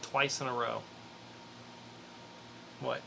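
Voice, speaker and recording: A man's voice: medium pitch, fairly even intonation, drawn-out words, vocal fry, pushed and bright, slight background noise, rough recording. A man co-hosting a podcast in a casual, chatty style. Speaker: podcast co-host. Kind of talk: casual chat with a quiz segment.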